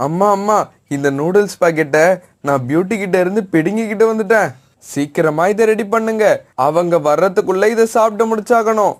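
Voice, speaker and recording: Man as a high-voiced cheeky young bird. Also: a little fast, close to an everyday voice. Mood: boastful, excited. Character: cheeky scheming young male bird, boastful. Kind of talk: children's cartoon voice acting.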